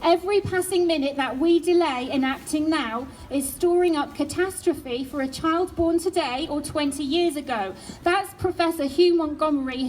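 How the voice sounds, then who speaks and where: A woman, giving an earnest speech at an outdoor climate rally, slowing down to stress key lines. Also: high voice, a little mellow, a little hiss or hum, low-quality recording. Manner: earnest, slows down for emphasis.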